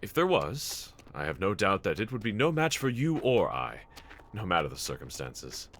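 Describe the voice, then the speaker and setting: A man's voice: lowish voice, very animated, normal speed, fairly bright tone, a little hiss or hum. A man playing a bold, earnest, reassuring demon slayer swordsman in an anime audio roleplay, speaking with formal, courteous diction. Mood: gentle, reassuring.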